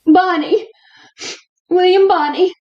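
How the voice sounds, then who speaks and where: A woman's voice: very high-pitched, steady tone, takes it slowly, dull audio. A woman acting an exasperated, fretful frontier mother with folksy rustic speech in a Western radio drama. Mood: matter-of-fact. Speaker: actor in an amateur radio drama.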